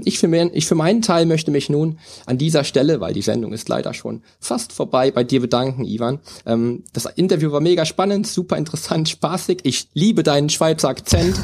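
A man's voice: mid-range voice, darkish tone. A man hosting a podcast, chatty and rambling, with frequent laughs as he talks. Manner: chatty, rambling, many fillers and restarts, laughs often. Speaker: podcast host, personal trainer. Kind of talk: casual podcast chat and sign-off.

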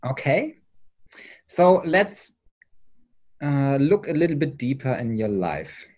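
A man hosting an interview, speaking English as a German native, in halting phrases with hesitant restarts. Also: medium pitch, sing-song, a little mellow. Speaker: podcast host, interviewer. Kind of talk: interview questions.

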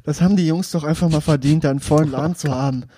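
A man's voice: fairly high pitch, fairly even intonation, racing, dark tone, slightly rough, dry recording. A young man in casual podcast banter, teasing and laughing. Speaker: podcast co-host. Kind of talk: casual chat.

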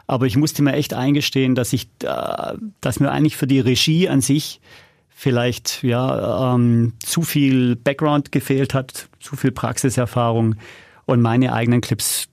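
A middle-aged man with a medium-pitched, gravelly voice, recorded dry and close. He talks casually and candidly as an interview guest, in colloquial, self-critical anecdotes. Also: steady tone, normal speed, darkish tone, dry recording. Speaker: podcast guest, audio drama producer.

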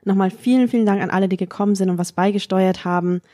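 A woman's voice: medium pitch, steady tone, quick pace, dark tone. A woman co-hosting a podcast, talking casually in an informal, colloquial way. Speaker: podcast co-host.

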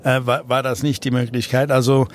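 Hesitant man, faint rumble behind. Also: flat delivery, fairly quick. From South Africa. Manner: hesitant, many fillers and restarts. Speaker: interview guest, entrepreneur. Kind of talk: casual interview talk.

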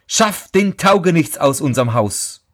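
A man voicing a frantic sexton's wife who shouts her complaint in a storybook fairy tale. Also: a little high, lively intonation, a little slow, voice pushed up. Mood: agitated.

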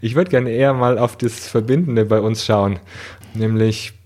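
A man's voice: lowish voice, fairly even intonation, moderate pace, darkish tone. A man from southern Germany talking casually and conversationally as the host of a podcast interview. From Nuremberg, southern Germany.

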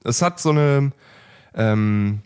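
A man's voice: medium pitch, takes it slowly, darkish tone, clear, resonant tone. Joking man in his late twenties. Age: late 20s. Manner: joking, laughs often, fillers like ähm. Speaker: podcast co-host. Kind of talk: casual chat.

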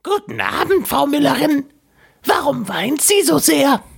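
A man voicing a small, sly, bargaining little gnome in a slow, squeaky, creaky falsetto for a fairy tale. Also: very animated, heavily acted. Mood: sly greeting. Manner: slow, exaggerated, swooping.

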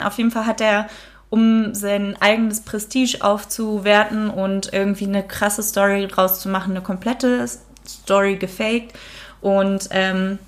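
German woman hosting a true crime podcast. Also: medium pitch. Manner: casual, many fillers, reads case notes aloud. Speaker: podcast host.

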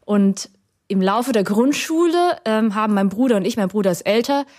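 A thoughtful woman in her thirties speaks at an even, middle pitch. She grew up in Germany and answers in a relaxed, conversational way. She pauses often and restarts her sentences as she looks for the right words. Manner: reflective, hesitant with many fillers and restarts. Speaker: guest.

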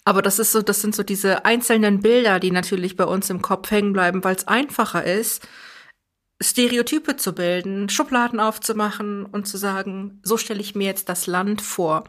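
A young woman speaks as a guest in a reflective conversation. Her voice sits in a middle pitch, and her delivery is earnest and thoughtful. She sometimes restarts a phrase mid-sentence while she works out her point. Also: moderate pace, fairly bright tone. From Germany. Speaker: interview guest.

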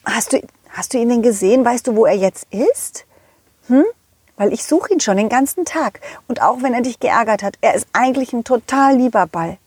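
A confident, kindly storyteller dog, played by a woman in a children's audio play. The voice is fairly high and husky with lively swings. It talks haltingly and chattily, straight to the children. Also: no echo. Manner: chatty, empathetic, halting, addresses children directly.

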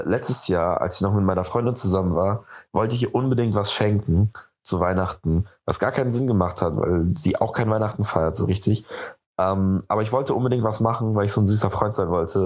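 A young man with a lowish voice tells a relaxed personal story, stopping often to search for words. He is a radio presenter from Munich, chatting in a loose, unscripted way with frequent ums and restarts. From Munich, Germany. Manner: hesitant, many fillers and restarts. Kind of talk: casual personal anecdote.

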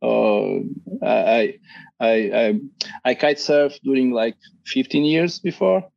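A man's voice: medium pitch, expressive, slow, creaky, a little mellow, dry recording, lo-fi sound. A man with a dry throat, a board game designer chatting casually as a podcast guest, hesitant and often restarting his sentences.

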